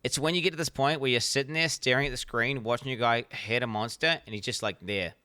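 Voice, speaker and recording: NZ-accented male streamer, profane and rambling, crisp clear tone. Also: medium pitch, fast, sharp tone, no echo. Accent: New Zealand. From New Zealand. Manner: blunt, profane, rambling with many restarts. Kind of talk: casual reaction stream commentary.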